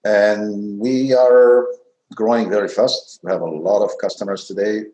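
A man's voice: on the low side, fairly even intonation, a little slow, dark tone. A man founder on a video call panel, speaking non-native English with frequent grammar slips. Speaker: company founder, panel guest. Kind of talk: unscripted panel talk. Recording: roomy echo.

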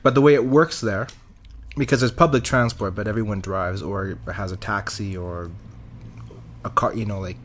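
A man's voice: lowish voice, fairly even intonation, moderate pace. A young man in casual podcast chat, telling offhand stories with swearing.

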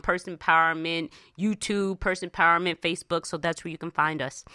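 A woman's voice: fairly low pitch, sharp tone, dry recording, clean studio sound. A woman hosting a radio show, giving motivational money advice in an emphatic, conversational way, repeating phrases for emphasis. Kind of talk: motivational money talk, addressing listeners.

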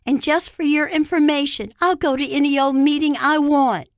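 Woman acting a distraught, defiant woman, high-pitched. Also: lively intonation, normal speed, no room sound, studio quality, natural voice. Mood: furious defiance. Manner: emphatic, raised-voice outbursts. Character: distraught, defiant drunken woman narrator crying out.

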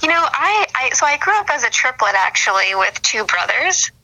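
Bright, chatty young woman with gravelly fry. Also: high voice, sing-song, normal speed, rough recording. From Boston, USA. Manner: chatty, many fillers and restarts. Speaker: sports blogger guest. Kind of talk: casual interview on a phone line.

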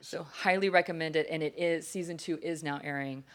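A woman's voice: low voice, fairly even intonation, brisk. A woman co-hosting a casual chat show, talkative, swearing now and then and laughing easily.

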